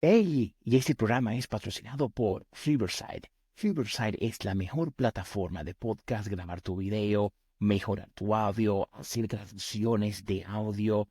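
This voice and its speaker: A man's voice: on the low side, unhurried, few pauses, a little mellow, breathy edge, dry recording, clean studio sound. A man reading a sponsor message on a podcast in an upbeat, promotional way. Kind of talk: sponsor read.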